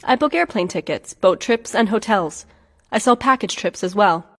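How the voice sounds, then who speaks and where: A woman's voice: mid-range voice, animated, normal speed. Woman reading practice lines, reverby. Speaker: dialogue reader in English lessons. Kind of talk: scripted practice dialogue.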